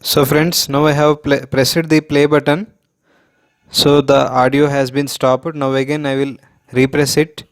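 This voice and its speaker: Man with a flat, even delivery. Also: medium pitch, a little slow. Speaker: product reviewer. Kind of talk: unscripted product demonstration.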